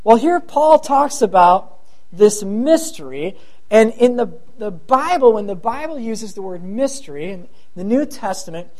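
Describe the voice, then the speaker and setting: A man's voice: high voice, expressive, measured pace, a little mellow. A middle-aged man with a thin voice giving a sermon as pastor, chatty and self-deprecating with rambling asides.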